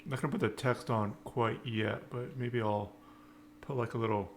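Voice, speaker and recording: A man giving an informal live-coding tutorial, thinking aloud in halting, piecemeal phrases. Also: mid-range voice, steady tone. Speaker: programming tutorial instructor. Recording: noticeable background noise, keyboard typing.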